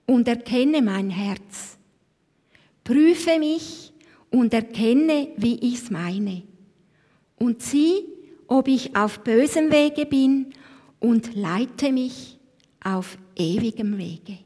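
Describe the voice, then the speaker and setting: Slow Swiss woman in a reverberant church. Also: a little high, expressive, a little mellow. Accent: Swiss German. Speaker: church service leader. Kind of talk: devotional talk and scripture reading. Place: church hall. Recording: long hall reverb.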